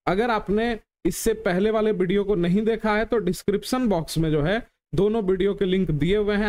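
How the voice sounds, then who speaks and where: A man's voice: high voice, darkish tone. A man teaching an exam question lesson, instructive, repeating key points and asking rhetorical questions of his students.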